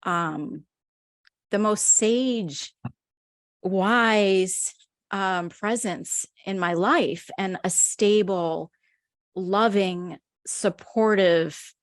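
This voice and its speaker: A woman's voice: mid-range voice, extremely slow, no echo. A woman hosting a podcast, speaking earnestly and effusively, lingering on words of praise as she introduces her guest. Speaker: podcast host. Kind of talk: heartfelt interview introduction.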